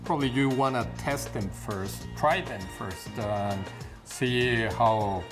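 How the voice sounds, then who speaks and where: A man from Mexico City with a Mexican Spanish accent, a guest demonstrating a recipe in a kitchen and talking haltingly with many pauses and fillers. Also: medium pitch. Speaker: guest cook. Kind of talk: cooking demonstration. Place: home kitchen. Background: background music and sizzling pan.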